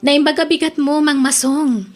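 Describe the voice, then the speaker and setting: A woman's voice: high voice, fairly even intonation, moderate pace, over music, natural voice. A woman playing a polite visiting lady in a radio drama, speaking with an Ilocano accent. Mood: polite, grateful.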